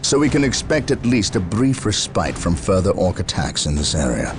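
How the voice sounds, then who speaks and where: An actor playing a stern veteran space marine commander in a video game, with formal, authoritative military diction. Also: male voice, low voice, very animated, normal speed, music in the background. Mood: grave briefing. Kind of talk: video game cutscene acting.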